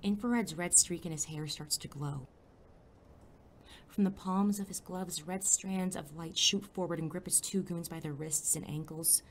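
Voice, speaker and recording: A woman's voice: fairly low pitch, steady tone, normal speed, a little hiss or hum, lightly acted, rough recording. A woman playing an anxious, sarcastic young sidekick in an audio drama, both in dialogue and first-person narration, with a wary, dry manner. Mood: uneasy narration. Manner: wary, dry, sometimes whispering.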